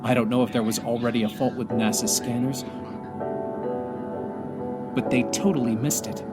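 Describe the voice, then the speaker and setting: A man playing a weary, grieving astronaut commander stranded alone, speaking an earnest, halting audio drama monologue. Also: medium pitch, fairly even intonation, over loud music, close to an everyday voice. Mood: somber.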